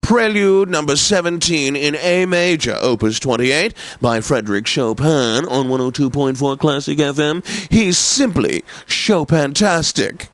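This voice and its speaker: An actor playing a pompous, snobbish classical radio DJ in a video game, theatrical and jovial, with flowery diction. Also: male voice, on the high side, a little slow, few pauses, fairly bright tone, dry recording. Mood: grand, cheerful.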